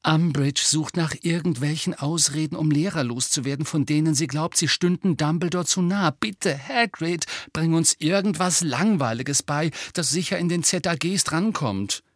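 A man's voice: mid-range voice, big pitch swings, brisk, few pauses, breathy edge, no echo, studio quality. A man voicing an earnest, anxious teenage schoolgirl in an audiobook, urgent and lecturing. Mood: desperate, pleading. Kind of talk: audiobook character voice.

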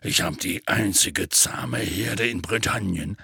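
A man voicing a big, good-natured half-giant in an audiobook, with a gravelly, raspy voice and a hesitant, colloquial drawl. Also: low voice, slow pace, pushed and bright, no echo, clean studio sound, voice pushed down, heavily acted. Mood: dismissive, proud. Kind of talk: audiobook character voice.

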